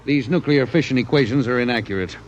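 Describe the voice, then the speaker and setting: A man's voice: mid-range voice, fast talker, lightly acted. A man acting an impatient, irritable bureau chief with a brusque, scolding manner in a 1950s radio drama. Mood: brusque, stern. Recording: muffled, noisy vintage radio recording.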